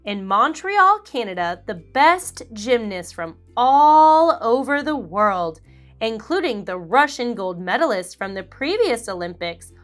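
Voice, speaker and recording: A woman reading a children's picture book aloud, slow, clear and expressive, as host of a read-along show. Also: a little high. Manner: animated, slow and clear storytelling.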